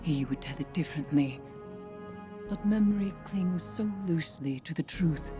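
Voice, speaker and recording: A woman playing a calm, tender keeper of secrets, narrating a game cutscene in a hushed, poetic way. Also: sits low, music in the background, close to an everyday voice. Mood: solemn, wistful. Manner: hushed, poetic, deliberate storytelling.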